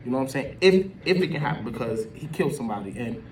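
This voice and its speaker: A man's voice: medium pitch, animated, very fast, reverberant. A man giving casual opinion commentary as a video host, talking in an emphatic, slangy, conversational way. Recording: distant mic with background noise.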